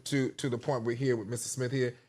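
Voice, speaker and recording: A man speaking as a talk show panelist in unscripted discussion, earnest and halting, often repeating his words. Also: medium pitch, flat delivery, darkish tone.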